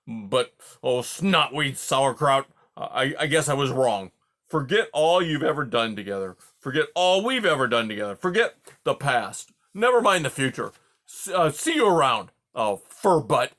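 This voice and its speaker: A man's voice: mid-range voice, animated, a little slow, creaky, pushed and bright, exaggerated voice. A man voicing a grumpy old male porcupine who huffs, sputters and swears in silly made-up oaths. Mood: indignant.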